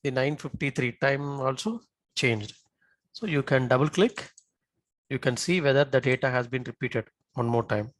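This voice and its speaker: A man giving a live software tutorial as an instructor, often checking in with short tag questions. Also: medium pitch, moderate pace, no echo.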